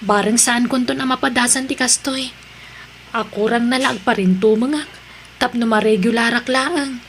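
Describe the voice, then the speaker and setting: A polite young female employee played by a woman in an audio drama, speaking earnestly in a fairly high voice. Her accent is Ilocano Filipino, and there is noise behind her. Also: moderate pace, a bit bright.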